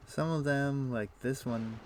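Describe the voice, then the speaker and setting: A man streaming a video game, explaining things in a relaxed, meandering way with frequent pauses. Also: mid-range voice, a little hiss or hum. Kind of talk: casual gameplay chat.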